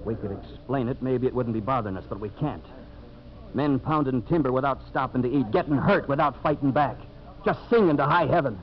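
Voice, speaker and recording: Actor as earnest lumberjack, muffled old radio. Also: male voice, mid-range voice, brisk, natural voice. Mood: troubled, defiant. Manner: plain-spoken, rustic grammar. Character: earnest, troubled lumberjack standing up to his boss. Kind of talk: 1950s radio drama acting. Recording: muffled 1950s radio recording.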